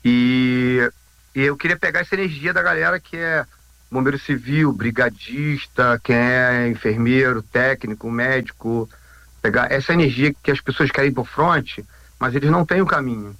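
A man in his fifties with a Rio Brazilian accent gives an informal interview. His voice is bright and forceful at a medium pitch, recorded dry. He speaks haltingly, often repeating himself and checking in with tag questions. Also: no echo. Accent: Brazilian, Rio de Janeiro. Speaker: interview guest, volunteer rescue group founder.

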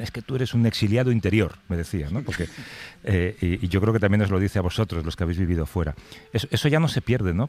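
A man hosts a radio panel conversation. He talks hesitantly and fills his pauses with "eh". A faint hum of room ambience sits behind him. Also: low voice, darkish tone. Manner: hesitant, many fillers. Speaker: host.

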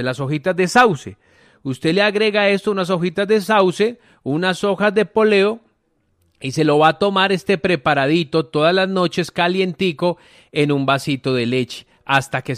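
A man's voice: high voice, no room sound, studio quality. A Colombian man giving conversational health advice on the radio as a natural medicine doctor, talking to listeners in a folksy, colloquial way. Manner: folksy, colloquial, addresses listeners directly with diminutives.

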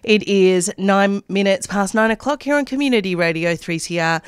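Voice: medium pitch, normal speed, clean tone, no room sound, clean studio sound. A radio news presenter, reading scripted news stories.

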